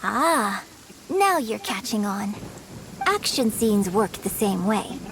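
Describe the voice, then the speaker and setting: A woman's voice: mid-range voice, big pitch swings, slow pace, a bit bright, slight background noise, heavily acted, poor audio. A woman playing a poised young opera performer who coaches acting in a video game, articulate, theatrical, exacting but encouraging. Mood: patient, instructive.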